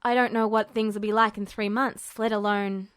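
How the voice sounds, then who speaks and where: A woman's voice: medium pitch, flat delivery, moderate pace, clean tone, no echo, clean studio sound, lightly acted. Woman doing a blunt, hot-headed teenage boy. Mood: reluctant. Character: gruff, short-tempered, earnest teenage boy prodigy.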